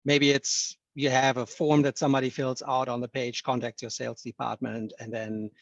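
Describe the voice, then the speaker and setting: A man's voice: mid-range voice, little pitch movement, normal speed, gravelly, no echo, lo-fi sound. A man answering questions on a webinar panel, hesitant, with many ums and restarts.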